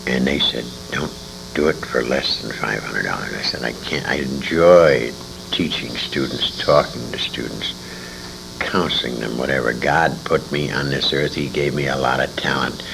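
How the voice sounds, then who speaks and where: A man in his sixties telling stories in an interview, speaking emphatically and dramatically with pauses. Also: very deep voice, vocal fry. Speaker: interviewee, veteran radio actor. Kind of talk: conversational storytelling in an interview. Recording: hissy, humming 1980s amateur convention tape.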